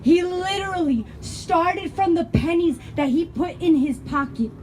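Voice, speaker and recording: A young woman from Denver performing spoken word poetry, rhythmic and impassioned, building to shouted lines. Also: very high-pitched, animated, a little slow, slight background noise. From Denver, Colorado.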